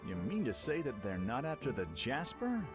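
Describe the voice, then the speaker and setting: A man's voice: mid-range voice, sing-song, moderate pace, lightly acted. A man playing a brash young pirate lord in a fan radio play, casual and cocky in manner. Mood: serious. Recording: music behind the voice.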